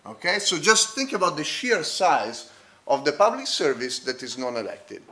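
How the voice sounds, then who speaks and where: A man with a foreign accent presents a research paper to a conference audience. His voice sits fairly high for a man. He stumbles through fillers and restarts and keeps checking in with the listeners. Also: very animated, pushed and bright. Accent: non-native accent. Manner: halting, frequent fillers and restarts; talks straight to the audience with checking "okay?" tags. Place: conference hall.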